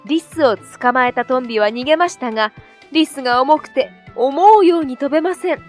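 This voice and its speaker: Female storybook narrator: high voice, scripted children's tale delivery, music bed underneath. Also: normal speed. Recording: soft music behind.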